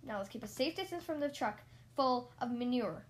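A strict, bossy rodent driving instructor from a children's storybook, acted out by a woman. He barks orders and scolds in a very high voice, with no patience for excuses. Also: animated, normal speed, lightly acted. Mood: scolding, alarmed. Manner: barking commands, scolding.

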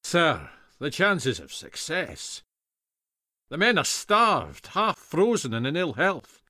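A man's voice: a little high, huge pitch swings, slow, sharp tone, no echo, clean studio sound, voice pushed up, heavily acted. A man doing a worried Scottish officer in his fifties. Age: 50s. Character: fifty-year-old Scottish officer, worried and cautious.

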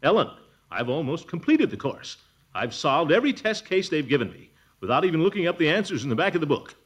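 A pompous, blustering middle-aged bachelor uncle in a 1940s radio comedy. He chuckles and wheedles, and his pitch swings widely from low rumbles to high yelps. His voice has a slightly husky edge. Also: big pitch swings, fast talker, fairly bright tone, full character voice. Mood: flirty.

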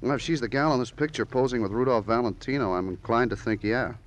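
An actor playing a nosy, fidgety, talkative witness in an old radio crime drama, flippant and rambling. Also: male voice, mid-range voice, fast, natural voice. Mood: defensive, cheeky. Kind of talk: 1940s-50s radio drama acting.

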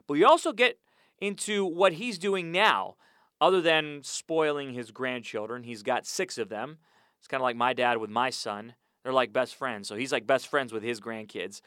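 A man's voice: medium pitch, normal speed, a bit bright, smooth, no room sound, studio quality. A man hosting a sports podcast from a small audio booth, chatty and upbeat with rambling asides. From United States. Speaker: podcast host. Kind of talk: casual podcast intro and interview.

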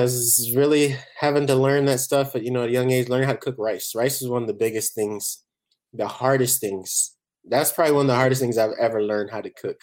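A man from Barbados, chatting casually as a chef guest in a relaxed, rambling way. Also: mid-range voice. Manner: relaxed, rambling, many "you know" fillers. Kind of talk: casual interview chat.

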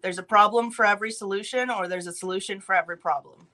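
A young woman from California, a podcast guest telling her life story in a brisk, matter-of-fact way with light laughs. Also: mid-range voice, bright, forceful tone.

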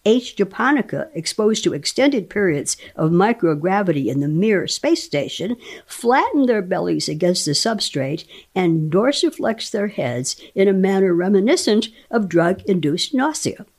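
A woman reading a scientific paper aloud as a guest dramatic reader, enunciating technical terms deliberately. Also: medium pitch, very animated, a little mellow.

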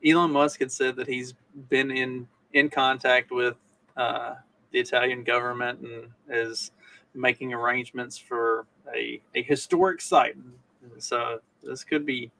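A man's voice: mid-range voice, little pitch movement, a little slow, gravelly, pushed and bright, poor audio. A man co-hosting a podcast, talking through the news casually and wryly, often repeating phrases for emphasis. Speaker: podcast co-host.

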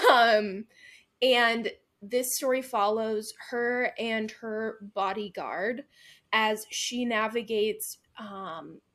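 A woman's voice: a little high, drawn-out words, fairly bright tone. A young woman co-hosting a book podcast, recapping and reviewing in a casual, chatty way with lots of fillers. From Midwestern United States. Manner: chatty, frequent fillers and laughs. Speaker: podcast co-host.

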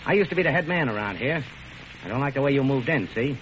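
An actor playing a tough, suspicious American gang boss with gruff slangy talk in a 1940s radio drama. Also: male voice, mid-range voice, animated, fast, fairly bright tone, close to an everyday voice. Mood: menacing, resentful. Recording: dull, noisy old radio recording.

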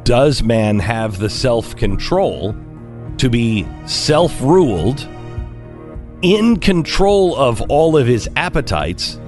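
A man's voice: medium pitch, big pitch swings, slow pace, a little mellow, soft background music. A man in his fifties hosting a talk radio show, wry and questioning as he leads the talk. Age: 50s. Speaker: radio host. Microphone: studio microphone.

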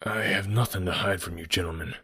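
A proud villain from a detective storybook, acted by a male narrator, with a fairly low voice. He speaks with bitter, forceful defiance and a wounded lover's intensity. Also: moderate pace. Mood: grim defiance. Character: fierce, proud, lovelorn American male criminal.

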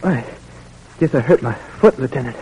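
An actor in an old-time radio drama playing a young captive soldier, polite and hesitant but stubbornly loyal. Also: male voice, medium pitch, expressive, moderate pace, a little mellow, husky. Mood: nervous, evasive. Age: young adult. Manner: hesitant, deferential. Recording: noisy old radio recording.

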